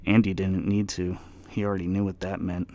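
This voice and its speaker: A man's voice: low-pitched, level tone, brisk, dark tone. A man giving a dramatic scripted reading as the narrator of a darkly comic story.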